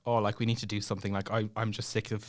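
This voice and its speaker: A man's voice: on the low side, little pitch movement, very fast. A young Irish man telling a story as a podcast guest in a casual, chatty, self-deprecating way. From Ireland.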